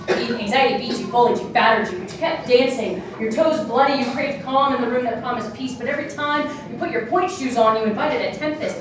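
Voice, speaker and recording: A woman performing spoken word poetry live, lyrical and building intensity through repeated phrases. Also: a little high. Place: art gallery, indoors, live audience. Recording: echoey hall, distant and noisy.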